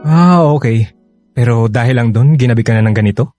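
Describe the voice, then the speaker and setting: An actor playing a concerned, protective young husband, emotive and insistent, in radio drama dialogue. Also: male voice, medium pitch, brisk, mellow, lightly acted. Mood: questioning. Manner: emotive, pleading, stammering with concern. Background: faint music.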